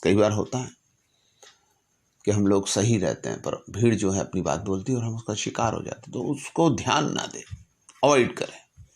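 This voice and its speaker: A man telling a story reflectively in a didactic way, with measured delivery and deliberate pauses. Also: on the low side, lively intonation, darkish tone. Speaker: storyteller and speaker. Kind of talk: reflective storytelling, reciting a letter from memory with asides.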